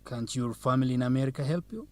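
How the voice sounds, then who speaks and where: A confident, teasing young man, played by an actor, a law student in an educational radio drama. His voice is medium in pitch, and he speaks in a courteous, measured way. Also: close to an everyday voice. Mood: curious. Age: young adult.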